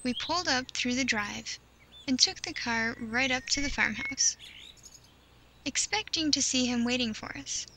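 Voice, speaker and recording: High-voiced woman narrating a creepy story. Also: a little slow, pushed and bright. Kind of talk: scripted first-person creepypasta narration. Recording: clear background noise of nature ambience.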